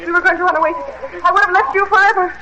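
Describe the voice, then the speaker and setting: An actress playing an anguished wife pleading in an old radio horror drama, earnest and melodramatic. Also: female voice, very high voice, very fast, sharp tone. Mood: pleading, despairing. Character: anguished young wife pleading with cruel husband. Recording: muffled, hissy old radio drama recording.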